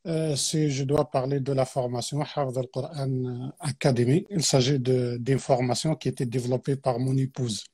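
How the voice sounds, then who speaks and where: Man, soft and husky. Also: mid-range voice, fairly even intonation, moderate pace, few pauses. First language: Arabic. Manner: hesitant, many fillers and restarts. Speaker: guest teacher. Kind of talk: explanatory religious talk on a live stream.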